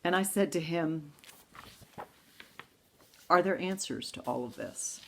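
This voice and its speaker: A woman with a low voice and a gravelly edge preaches a sermon. She reads poetry slowly and intimately, and her tone stays reflective throughout. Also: expressive, a little hiss or hum. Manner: slow, reflective, intimate reading voice. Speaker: pastor. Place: church service, streamed online.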